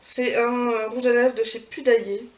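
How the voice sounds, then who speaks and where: Chatty woman vlogger, slightly high voice. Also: little pitch movement, moderate pace. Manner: chatty, many fillers and self-corrections. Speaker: beauty vlogger. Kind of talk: casual haul video. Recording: far from the mic, clear background noise.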